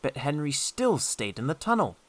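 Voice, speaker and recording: A man narrating a scripted children's story as an audiobook, expressive and deliberate in his storytelling. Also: on the high side, slight background noise.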